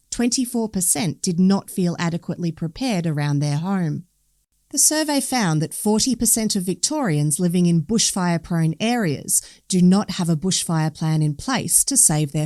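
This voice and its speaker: Australian female news reader, lowish voice, dry close sound, scripted narration. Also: darkish tone, no echo. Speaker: newspaper story reader.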